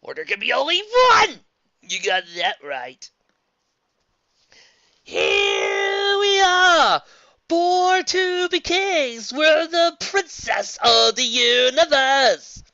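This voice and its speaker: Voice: mid-range voice, big pitch swings, normal speed, bright, forceful tone, no room sound, pitched above the performer's own voice. An amateur reader voicing a whiny, hyper young man who screeches, babbles and breaks into song. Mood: manic. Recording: dull lo-fi dry recording.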